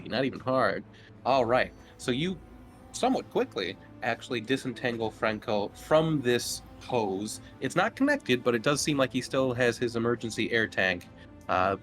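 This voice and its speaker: A man's voice: medium pitch, animated, normal speed, fairly bright tone. A man speaking as game master, narrating and explaining play in an easygoing, informal way. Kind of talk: casual tabletop game narration. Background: game music.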